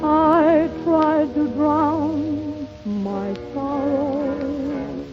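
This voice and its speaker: Lady singing real slow and high over music, dull old 30s radio sound. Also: steady tone. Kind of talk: 1930s radio torch song singing. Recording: dull lo-fi 1930s radio recording. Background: musical accompaniment.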